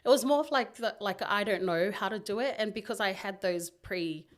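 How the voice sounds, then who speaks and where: A woman in her thirties from New Zealand, a podcast guest in a studio, talking in a relaxed, rambling way full of fillers. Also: mid-range voice, normal speed. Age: 30s. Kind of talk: casual interview.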